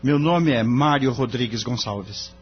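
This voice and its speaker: An actor playing an earnest, nervous and humble young lawyer, polite and tentative, in an audio drama. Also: male voice, mid-range voice, measured pace, a little hiss or hum, natural voice. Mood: earnest, respectful. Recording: dull lo-fi audio. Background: music.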